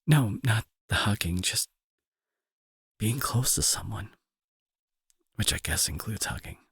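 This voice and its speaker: Husky low-voiced remorseful young bully, acted by a man. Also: animated, a little slow, no room sound, clean studio sound, exaggerated voice. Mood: flustered, vulnerable. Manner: soft, hesitant, earnest, reassuring. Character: remorseful young male bully turned tender, anxious caretaker. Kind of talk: intimate roleplay audio drama.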